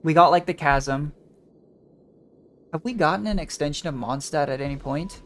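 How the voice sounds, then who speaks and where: A woman's voice: low voice, normal speed, slight background noise. A woman streaming a game with casual live commentary, musing and thinking aloud.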